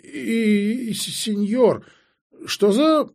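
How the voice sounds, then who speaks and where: A man's voice: sits high, extremely slow, vocal fry, a little mellow, voice pushed up, heavily acted. Man putting on a timid, stammering young noblewoman. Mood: flustered. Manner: faltering, stammering. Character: timid, trembling young noblewoman sorceress, easily frightened.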